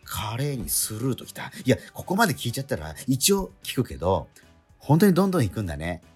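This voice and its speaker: A man's voice: mid-range voice, huge pitch swings, breathy edge, soft background music. An actor playing an exasperated schoolboy straight man in a comedic radio drama, quibbling and retorting in disbelief. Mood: wearily doubtful. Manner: incredulous, quibbling, rapid retorts.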